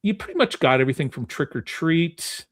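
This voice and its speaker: A man's voice: on the high side, animated, fairly quick. A man hosting a podcast in casual chat, with an informal, rambling way of talking. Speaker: podcast host.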